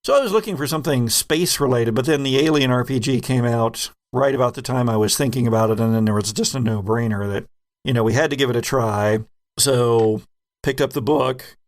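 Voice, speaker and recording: Male podcast host and game master, creaky gravel in the voice, casual retrospective chat. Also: medium pitch, brisk.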